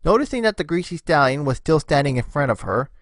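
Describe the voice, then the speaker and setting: A man's voice: fairly high pitch, big pitch swings, quick pace, no room sound, studio quality. A man narrating a scripted story as its narrator, reading in storybook style.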